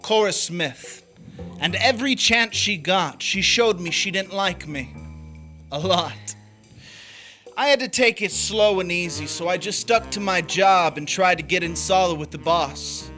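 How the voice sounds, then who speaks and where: Male, cynical young noir drifter, terse and wry, forceful bright tone, soft guitar behind, radio drama. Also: high-pitched, a little slow. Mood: cool, sly. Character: cynical young drifter narrating his own downfall, hardboiled.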